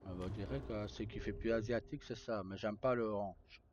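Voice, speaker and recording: A man's voice: fairly low pitch, steady tone, a little fast. A man streaming a game, thinking aloud in casual, offhand mutters to himself, on dull and noisy audio. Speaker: live streamer playing a game.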